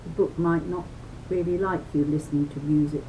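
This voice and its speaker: A woman's voice: low-pitched, steady tone, brisk, warm tone, slight background noise, low-quality recording. A woman reading a children's book aloud in a teacherly, emphatic way, adding chatty asides and questions to her listeners.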